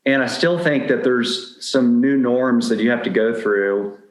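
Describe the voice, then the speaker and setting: A man's voice: mid-range voice, unhurried, reverberant, poor audio. A middle-aged man speaking candidly and anecdotally as a guest in a conversational interview. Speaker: podcast guest giving dating advice.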